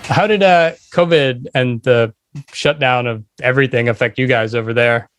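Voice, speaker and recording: A man's voice: mid-range voice, moderate pace, fairly bright tone, clear, resonant tone, soft background music. A man hosting a podcast, speaking casually as he interviews a guest. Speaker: podcast host.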